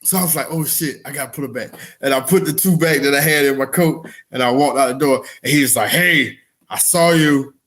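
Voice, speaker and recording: A man's voice: mid-range voice, brisk, fairly bright tone. A man in his forties co-hosting a comedy podcast, chatting informally with slang and easy swearing. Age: 40s. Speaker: podcast co-host. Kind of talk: casual comedic chat.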